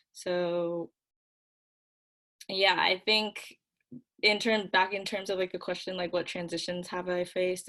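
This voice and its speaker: Young woman, lowish flat delivery, earnest panel answer. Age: young adult. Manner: earnest, filler-heavy, steady. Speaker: student panelist.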